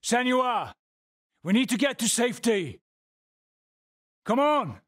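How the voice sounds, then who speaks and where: Haunted Norse village leader, husky breathy delivery, slow solemn phrasing, close dry sound, video game drama. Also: male voice, extremely high pitch, sing-song, fairly bright tone, dry recording, heavily acted. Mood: urgent shouting. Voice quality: husky, breathy, often near a whisper. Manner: slow, deliberate, grave, with pauses. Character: weary, haunted Norse settlement leader and seer.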